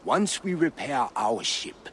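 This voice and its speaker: Lively, genial Viking seafarer. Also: male voice, mid-range voice, takes it slowly, breathy edge, exaggerated voice. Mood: inviting.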